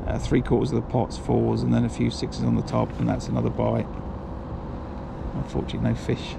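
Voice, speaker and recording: A man's voice: medium pitch, brisk, gravelly. A man giving a casual running commentary to himself while fishing by a pond, recorded on a body-worn camera. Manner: relaxed, muttering to himself, calls out to a friend. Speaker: angler vlogger. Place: outdoors by a pond. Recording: outdoor recording with noticeable wind and ambient noise.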